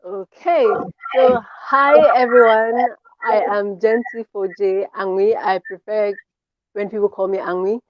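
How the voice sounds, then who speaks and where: A woman's voice: medium pitch, fairly even intonation, unhurried. Cameroonian woman on dull, lo-fi audio. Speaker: guest fashion designer. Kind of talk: casual self-introduction.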